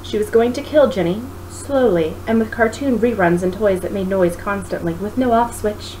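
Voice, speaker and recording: A woman reading a story aloud as narrator, in an expressive style. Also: mid-range voice, normal speed. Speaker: author reading own book. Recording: noisy home recording.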